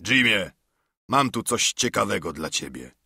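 A man's voice: on the low side, sing-song, a little slow, creaky, breathy edge, dry recording, studio quality, exaggerated voice. A man voicing a cunning, domineering older pirate leader who mocks and threatens his crew. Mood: mocking. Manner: mocking, threatening, loud.

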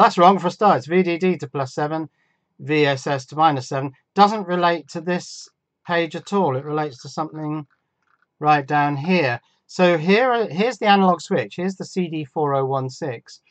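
A man's voice: fairly high pitch, no room sound. A man giving informal, hands-on electronics narration, thinking aloud and explaining step by step. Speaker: electronics hobbyist and presenter.

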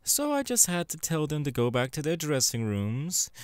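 A man voicing a sleazy, irritable demon film director in an ASMR roleplay, domineering and coaxing with drawn-out delivery. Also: fairly high pitch, huge pitch swings, clean tone, no echo, clean studio sound, pitched above the performer's own voice. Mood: annoyed.

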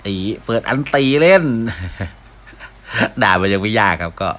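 A man's voice: on the low side, animated, slow pace, bright, forceful tone. A man giving casual live commentary as a gaming video host, often talking to himself as he plays. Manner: mouths sound effects, talks to himself while playing.

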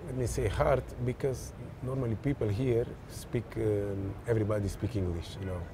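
A young man from Verde, speaking haltingly as an interview guest on an outdoor café terrace. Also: on the low side, steady tone, background noise. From Cape Verde. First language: Cape Verdean Creole. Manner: halting, searching for words. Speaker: footballer guest. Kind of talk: casual interview in non-native English. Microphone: lapel mic.

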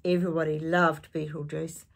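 An older woman reads a children's picture book aloud in a slightly low, husky voice. She takes her time over the story and breaks off for chatty asides, putting questions to her young listeners in an animated way. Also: moderate pace. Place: small indoor space with curtain backdrop.

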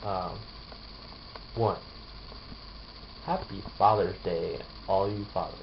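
Young man, worn out and yawning, low voice, drowsy home webcam vlog, dull hissy audio. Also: steady tone, slow, gravelly. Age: young adult. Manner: drowsy, mumbling, trailing off. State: tired, yawning. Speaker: vlogger. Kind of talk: casual vlog with rapped verses. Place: small bedroom, lying down. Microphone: webcam held close overhead.

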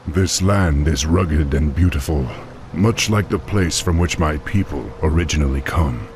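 An actor playing a young, noble orc war chief in a fantasy game, with a gravelly voice and a firm, formal manner. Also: male voice, very deep voice, a little slow, noisy background. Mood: thoughtful, respectful. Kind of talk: fantasy video game acting.

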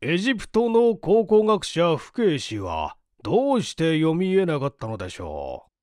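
A courteous gentleman client voiced by a male reader in a mystery audiobook. He sounds puzzled and inquiring, with a high, very lively voice. The recording is dry. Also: moderate pace, no room sound. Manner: polite, inquiring. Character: polite, puzzled gentleman client questioning a detective. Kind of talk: dramatised audiobook reading.

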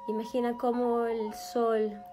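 A woman leading a guided meditation in a soothing, gentle manner, speaking in short, spaced phrases. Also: a little high, level tone, slow pace. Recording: background music with flute. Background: meditation music with flute.

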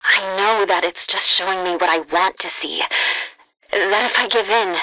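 An actress playing a wry, self-doubting young woman fighter in a video game, casual and quick. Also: medium pitch, bright, forceful tone, no room sound, poor audio. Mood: uneasy. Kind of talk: scripted video game dialogue.

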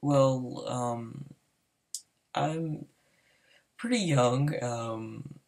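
A man's voice: medium pitch, drawn-out words, gravelly, darkish tone. A fifteen-year-old boy with a Southern US accent, talking nervously over his gameplay with many hesitations. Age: teen. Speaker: gamer applying to a server. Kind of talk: casual voice-over gameplay commentary.

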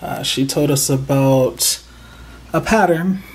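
A slow-talking crochet vlogger hosting a casual show-and-tell from a table indoors. The voice is excited and proud but halting, full of ums and small pauses, with an unhurried pace throughout. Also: mid-range voice, rough recording. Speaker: crochet channel host.

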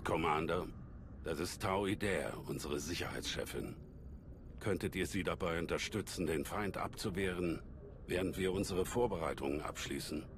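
A man playing a calm old alien Jedi master in a German game dub, measured and scholarly, with a deep gravelly voice. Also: noisy background. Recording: sci-fi game ambience behind voice.

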